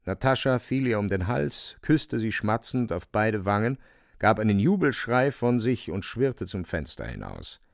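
A man narrating a radio drama from a script, in an expressive storytelling style. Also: lowish voice, a little slow, creaky, no echo, studio quality.

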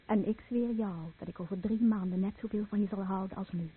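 An actress playing a devoted fisherman's wife in a radio play, husky, solemn and tender. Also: medium pitch, steady tone, brisk, close to an everyday voice. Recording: phone-like old radio recording.

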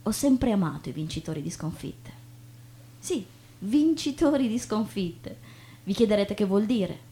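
A woman acting on stage as a chatty, naive young woman, expressive and colloquial. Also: medium pitch, a little slow. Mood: earnest, declamatory. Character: chatty, inquisitive, self-deprecating young woman, breezily naive. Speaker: stage actress. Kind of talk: theatrical monologue and dialogue. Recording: noticeable background noise with hum.

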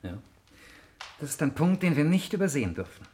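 An actor playing a matter-of-fact, cautious family lawyer with a practical manner in a 1960s German radio drama. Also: male voice, on the high side, sing-song, brisk, darkish tone. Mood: calculating.

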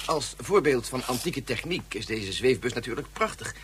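A man playing an earnest, lively physicist. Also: mid-range voice, normal speed, a little hiss or hum, close to an everyday voice. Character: earnest male physicist, a father. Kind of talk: radio play acting.

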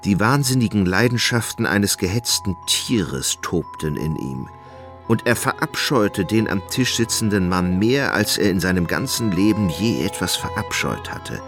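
Narrator role: man, very low creaky voice, animated and suspenseful literary storytelling, music underneath. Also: measured pace. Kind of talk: dramatic literary narration in a radio play.

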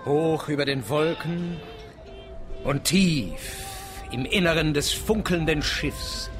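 An actor playing a callous, pompous, sardonic big-game hunter and writer in a radio drama. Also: male voice, on the high side, takes it slowly, music in the background. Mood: declaiming, grandiose. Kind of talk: theatrical radio drama acting.

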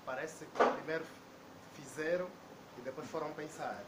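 A man's voice: on the high side, normal speed. A man, joining a public debate with a hesitant, anecdotal way of speaking. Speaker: debate participant. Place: debate hall indoors. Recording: echoey room with background noise.

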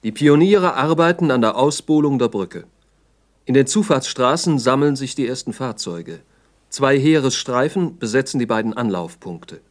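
A man's voice: medium pitch, lively intonation, normal speed. A male narrator in a 1960s radio play, with a mid-range voice. He reads scripted documentary-style narration in a sober, formal manner, as if delivering an official report.

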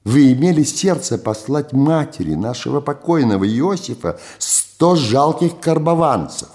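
A man's voice: mid-range voice, animated, a little slow, a little mellow, voice pushed up, close to an everyday voice. An older man voicing a swaggering Odessa gangster boss who talks in a theatrical, mock-reasonable way. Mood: indignant.

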